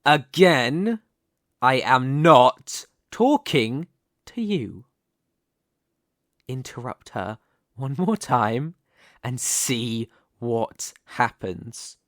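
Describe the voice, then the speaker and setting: A man acts out a possessive mafia boss in an intimate audio roleplay. He speaks slowly and deliberately, taunting with silky menace and smug control. The sound is close and very dry. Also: medium pitch, no room sound. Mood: cold, threatening. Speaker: ASMR roleplay voice performer. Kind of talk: dramatic ASMR roleplay monologue.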